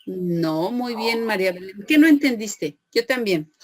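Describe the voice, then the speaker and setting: A woman's voice: mid-range voice, lively intonation, measured pace, darkish tone, no echo. A woman teacher, lecturing an online class, patiently questioning students and praising them by name.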